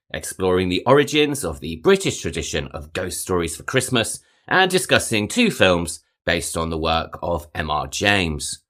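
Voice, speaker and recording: A man hosting a podcast, reading a scripted narration in a measured way, each phrase rising and then falling away at the end. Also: lowish voice, fairly bright tone. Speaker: podcast host.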